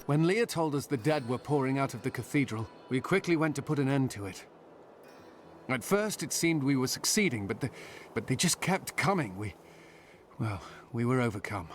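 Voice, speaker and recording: An actor playing a humble farmer turned reluctant militia captain in a fantasy game, earnest and self-doubting. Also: male voice, medium pitch, lively intonation, moderate pace, a little hiss or hum, close to an everyday voice. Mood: humble, burdened. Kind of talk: fantasy game character acting.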